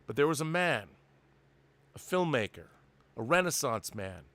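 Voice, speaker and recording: A man's voice: medium pitch, big pitch swings, normal speed, a bit bright, no echo. A middle-aged American man hosting a show, speaking effusively with dramatic build-ups and mock solemnity. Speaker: show host. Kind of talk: theatrical show introduction and enthusiastic interview chat.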